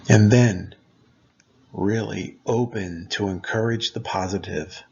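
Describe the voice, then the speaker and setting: A man narrating a guided meditation as its guide, speaking in gentle, deliberate short phrases. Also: fairly low pitch, highs cut off.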